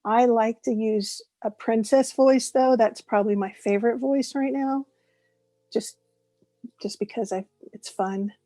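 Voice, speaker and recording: A woman's voice: a little high, fairly even intonation, a little slow, no echo, faint music behind. Chatty woman in her forties, dry mic. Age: 40s. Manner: chatty, warm, laughs often. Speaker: guest voice actress. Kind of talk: casual interview chat.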